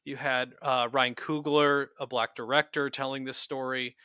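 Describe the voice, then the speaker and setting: A man's voice: mid-range voice, flat delivery, normal speed, bright, forceful tone, clear, resonant tone, no room sound, studio quality. A man co-hosting a podcast in casual, enthusiastic review chat, speaking in an earnest, effusive way. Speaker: podcast co-host.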